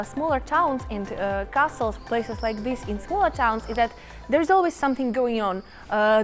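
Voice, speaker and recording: Chatty high-voiced woman vlogging outdoors. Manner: chatty, enthusiastic, addresses viewers as guys. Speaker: travel vlogger. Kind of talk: casual travel vlog to camera. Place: outdoors in a small town.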